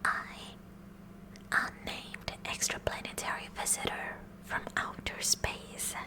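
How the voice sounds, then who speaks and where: A woman playing a kooky, eager teenage science club president in a breathy whispered ASMR roleplay, speaking in a deliberate, mock-official way. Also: close to an everyday voice. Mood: officious, eager. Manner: slow, deliberate, mock-official with awkward stammers.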